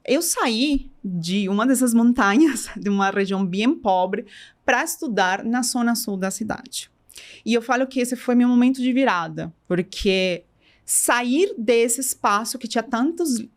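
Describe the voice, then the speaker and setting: Interview guest: Colombian woman, middle-aged, heavy accent, reflective, stops and restarts her thoughts. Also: fairly high pitch. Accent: Colombian Spanish. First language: Spanish. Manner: thoughtful, many restarts, mixes Spanish into Portuguese. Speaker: guest, company co-founder. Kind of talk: casual interview talk.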